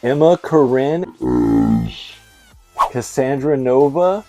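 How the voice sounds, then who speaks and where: A man's voice: medium pitch, slow, dark tone, soft background music. A middle-aged man hosting a casual movie review, talking in a slangy, effusive and emphatic fan's way. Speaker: video host, movie reviewer.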